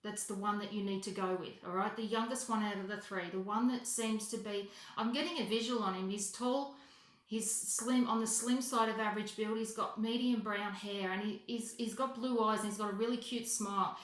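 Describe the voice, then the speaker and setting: A woman giving an unscripted psychic reading as a voicemail, halting and correcting herself as she goes, often repeating phrases for emphasis. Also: medium pitch, fairly even intonation. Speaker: psychic reader. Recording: roomy, echoing voice recording.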